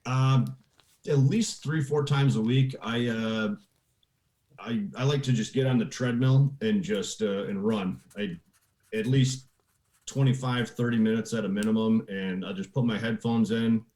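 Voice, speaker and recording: A man's voice: lowish voice, a little slow, dark tone. A man giving casual interview answers as a guest, plain-spoken with hesitant starts, in a roomy, echoey recording. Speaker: podcast guest, real estate investor.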